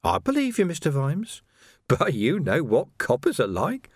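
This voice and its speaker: A man's voice: fairly high pitch, wildly swooping pitch, measured pace, slightly rough, no room sound, studio quality, voice pushed up, exaggerated voice. A man voicing a sly, cheerful male criminal who mocks and wheedles, laughing often. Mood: wheedling.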